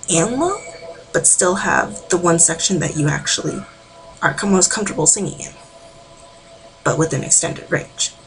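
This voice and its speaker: Low, gravelly-voiced woman teaching singing. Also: slight background noise, rough recording. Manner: rambling, informal, with filler words. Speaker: amateur singing tutor. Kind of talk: casual vocal warm-up tutorial. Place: home.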